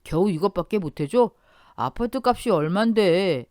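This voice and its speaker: A greedy, pushy young man, read by a woman narrator in a story radio drama, his lines raised and louder than the narration. He sounds demanding and loud, recorded dry and close. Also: fairly low pitch, expressive, normal speed, no echo, voice pushed up, close to an everyday voice. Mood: angry.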